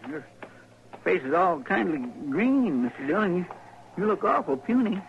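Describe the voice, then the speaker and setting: An actor playing a folksy, chatty, loyal deputy sidekick in a radio western, with a rural Southern drawl and an earnest, rambling way of talking. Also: male voice, sits high, sing-song, moderate pace, vocal fry, full character voice. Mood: concerned. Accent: rural Southern American drawl. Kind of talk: 1950s radio western acting. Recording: muffled, noisy vintage radio recording.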